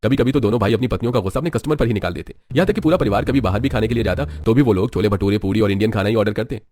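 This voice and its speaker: A man's voice: fairly low pitch, very fast, darkish tone, a little hiss or hum. A man narrating a scripted bedtime story as a storyteller. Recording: music behind the voice. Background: background music.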